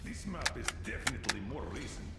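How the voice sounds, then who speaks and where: A man's voice: mid-range voice, very animated, fast, vocal fry. An actor playing a commanding middle-aged military colonel and determined father in a video game. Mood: calm, practical. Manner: commanding, terse. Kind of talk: video game cutscene acting. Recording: roomy, loud background noise.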